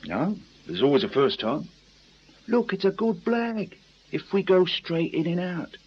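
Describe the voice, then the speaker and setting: An actor playing a rough, edgy English small-time crook in a radio drama, husky and slangy. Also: male voice, sits high, unhurried, exaggerated voice. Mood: wary. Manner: slangy, animated. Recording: dull, lo-fi radio recording.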